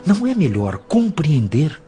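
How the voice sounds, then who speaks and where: A Brazilian man acting a calm, compassionate spirit mentor who teaches in measured, expressive speech. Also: fairly high pitch, soft background music. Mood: patient, admonishing. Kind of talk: dramatized audiobook acting.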